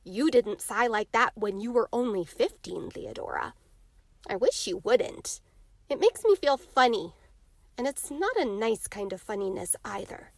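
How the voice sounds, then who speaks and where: A woman's voice: on the high side, animated, unhurried, dry recording. A woman voicing a confident, eager ten-year-old boy in an emphatic, earnest storybook read-aloud. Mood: curious. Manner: emphatic, childishly earnest and boastful.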